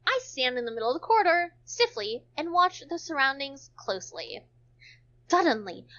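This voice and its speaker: A woman's voice: high-pitched, a bit bright, muffled recording. A woman reading visual novel text aloud for a stream, stumbling now and then and dropping in casual joking asides.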